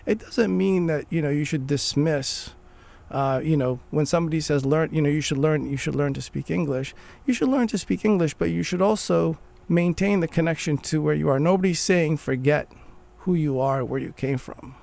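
Gravelly man in earnest conversation. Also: a little high, moderate pace, a little mellow. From Morocco. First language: Arabic. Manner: earnest, restarts phrases while thinking. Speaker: guest. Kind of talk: conversational interview.

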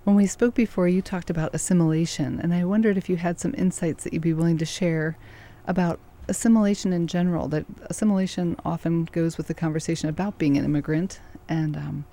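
A woman interviewer with a low, mellow voice. She asks thoughtful questions and stays encouraging, with an easy laugh. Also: fairly even intonation, fast, hardly any pauses. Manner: warm, encouraging, laughs easily. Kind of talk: conversational interview.

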